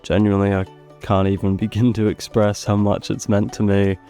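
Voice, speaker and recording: A man hosting a gaming video, narrating a review in an expressive, opinionated way into a close mic. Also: on the low side, normal speed. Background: background music.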